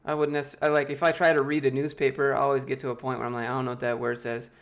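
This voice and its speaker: A man's voice: medium pitch, little pitch movement, rapid-fire. American man, livestream host, casual Q&A, joking, offhand, home desk mic. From United States. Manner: loose, joking, reads chat questions aloud and answers offhand. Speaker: YouTube host answering chat.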